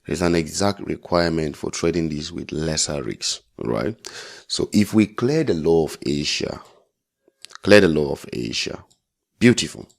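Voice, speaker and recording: A man's voice: very deep voice, animated, moderate pace, creaky. A man giving an informal trading tutorial as an instructor, speaking bluntly and emphatically, repeating phrases for stress and adding check-in tags. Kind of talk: informal tutorial explaining a chart.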